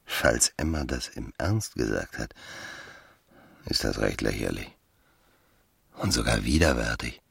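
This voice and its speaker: A sensual, cynical male lover in literary radio drama. The voice is extremely deep and husky, with slow, languid pacing. He sounds worldly and dryly mocking. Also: lively intonation, fairly bright tone, exaggerated voice. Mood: cynical musing.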